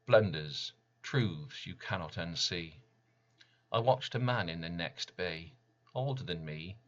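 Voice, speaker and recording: Male poet, lowish pitch, firm bright tone, solemn and deliberate dramatic recital in short phrases, slightly dull sound. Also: animated. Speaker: poet reading own work.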